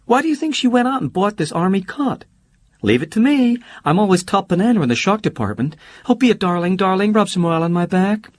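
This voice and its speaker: A man's voice: sits high, sing-song, fairly quick, dry recording, pitched above the performer's own voice. Cast note: a man doing a brash, mocking young woman, raised voice, swooping lines, dry recording. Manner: breezy, teasing, sharp-tongued. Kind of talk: audiobook character voice.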